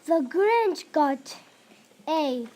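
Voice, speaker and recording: A child narrating a reader's theatre script, reading aloud with emphasis and some halting. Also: high voice, expressive, darkish tone, slight background noise. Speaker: narrator in a class play.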